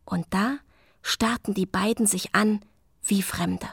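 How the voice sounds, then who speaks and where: An actress playing a queen's gentle female companion in a radio drama, speaking in a measured, deliberate storytelling way. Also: mid-range voice, fairly even intonation, a little hiss or hum. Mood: intent storytelling.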